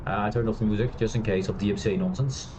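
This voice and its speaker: A man streaming a space game, chatting loosely with many fillers and restarts, laughing now and then. He has a loud voice. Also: lowish voice, fairly even intonation, rapid-fire, noisy background. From Europe. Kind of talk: casual gameplay commentary. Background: quiet game music.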